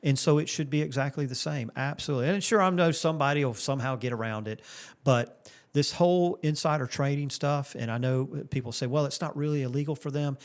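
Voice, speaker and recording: This is a man in his late fifties from Florida who speaks as a candidate for Congress. His voice sits at a middle pitch. He answers in an earnest way, with many restarts and repeated phrases. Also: fairly quick. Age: 50s. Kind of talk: interview answers.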